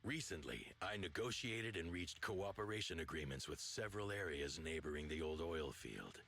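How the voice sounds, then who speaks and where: An actor playing a stern, dignified overlord of a motor league in a video game, speaking in a commanding, formal way. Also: male voice, sits low, expressive, moderate pace, sharp tone, soft background music, heavily acted. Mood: formal, assured.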